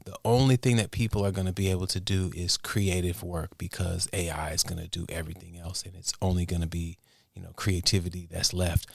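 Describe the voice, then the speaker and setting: A man with a fairly low voice speaks as an interview guest. He thinks aloud in halting, measured phrases, giving considered conversational answers. The sound is close and dry. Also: no echo. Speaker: interview guest, music producer and inventor.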